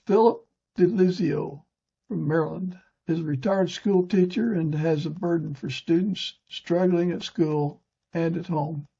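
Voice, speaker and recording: A very husky male podcast host with a fairly high, creaky voice reads scripted introductions. His tone is dark and mellow, on a slightly dull recording.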